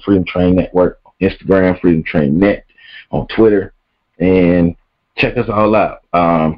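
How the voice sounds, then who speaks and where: Male host, very deep voice, smooth, laid-back slangy talk, dull lo-fi audio. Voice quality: smooth "quiet storm" voice. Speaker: podcast host. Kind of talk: casual show wrap-up. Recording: dull lo-fi recording.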